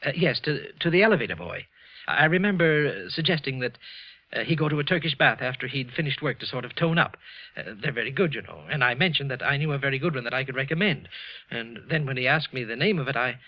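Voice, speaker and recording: A man's voice: mid-range voice, lively intonation, fast talker. A man acting a nervous, resentful clerk who secretly killed, with a hesitant, evasive manner, in an old-time radio suspense play. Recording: muffled vintage radio recording, background music.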